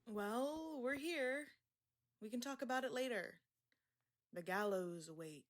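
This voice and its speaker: An actress playing a sarcastic, casual patient who guides a newcomer in an audio drama. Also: female voice, a little high, lively intonation, unhurried. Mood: breezy. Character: wry, breezy fellow patient showing a newcomer around.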